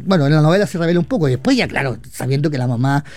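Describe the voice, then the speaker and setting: Hurried, stumbling Chilean man on radio. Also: fairly high pitch, expressive, a little mellow, dry recording. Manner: rushed, halting, many restarts and fillers. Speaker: radio columnist. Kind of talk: casual radio chat.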